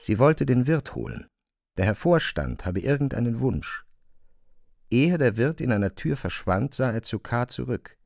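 A man's voice: medium pitch, very animated, moderate pace, vocal fry, darkish tone, no room sound, studio quality. A man narrating literary fiction from a script for an audio drama.

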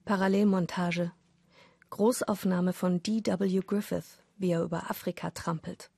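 Husky woman narrating a radio play. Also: fairly low pitch, fairly even intonation, unhurried, a little mellow, no echo, studio quality.

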